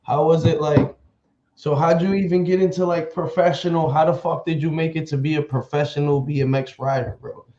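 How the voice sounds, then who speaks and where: A man's voice: on the high side, steady tone, brisk, noisy background. A man hosting a podcast in a laid-back, slangy, profane way. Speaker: podcast host. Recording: echoey room, dull lo-fi sound.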